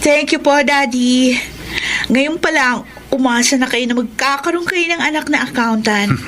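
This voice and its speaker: A high-voiced, eager dutiful daughter. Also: female voice, moderate pace, a bit bright. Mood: grateful, eager. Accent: Filipino. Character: eager, dutiful young student daughter pleasing her father. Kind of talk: Filipino radio drama acting. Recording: noisy ambience behind the voice.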